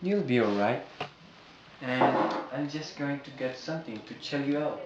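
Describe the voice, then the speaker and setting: A man's voice: medium pitch, moderate pace, natural voice. An actor playing a man devoted to his lover, with an earnest, imploring delivery. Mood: tender, soothing. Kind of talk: melodramatic stage acting. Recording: dull, noisy, echoey recording.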